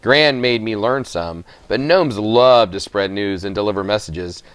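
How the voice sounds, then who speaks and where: A man's voice: on the low side, unhurried, a bit bright, clear, resonant tone, dry recording. A man narrating a children's novel aloud from the text as a storybook reader.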